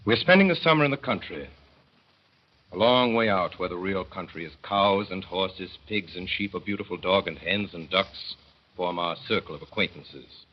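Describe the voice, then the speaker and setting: A deep, husky male voice narrates a 1930s radio drama with measured, wry timing. The sound is muffled and lo-fi, like an old broadcast. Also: animated. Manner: measured, wry storytelling with deliberate pauses. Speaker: narrator and lead performer.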